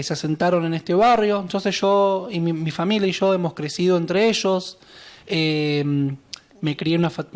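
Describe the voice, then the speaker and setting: Earnest young Argentine man, slightly high. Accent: Buenos Aires Spanish. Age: 20s. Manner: earnest, measured, with frequent fillers and tag questions. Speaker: guest. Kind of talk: personal account on a talk show.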